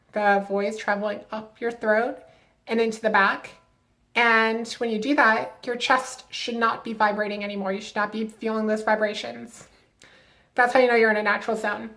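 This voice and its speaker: Chatty young woman, slightly high voice. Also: fairly bright tone. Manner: chatty, lots of "like" fillers, upbeat advice-giving. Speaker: vlogger. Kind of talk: casual to-camera vlog.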